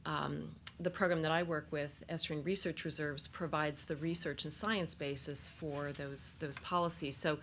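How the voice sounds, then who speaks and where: Very low-voiced hesitant woman. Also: steady tone, moderate pace, few pauses, a bit bright, a little hiss or hum. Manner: hesitant, with many fillers and restarts. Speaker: panelist, coastal program official. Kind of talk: panel discussion remarks. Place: conference room at a press event.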